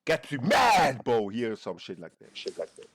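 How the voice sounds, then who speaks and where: A man's voice: mid-range voice, wildly swooping pitch, normal speed, a bit bright, breathy edge, no echo, clean studio sound. A man chatting with strangers on a video chat app, joking around and calling everyone "bro". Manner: teasing, says "bro" constantly.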